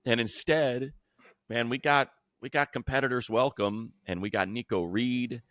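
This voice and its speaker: An American man chatting casually about sports as a co-host, telling slangy, animated stories. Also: mid-range voice, unhurried, dry recording. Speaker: sports radio play-by-play announcer and podcast co-host.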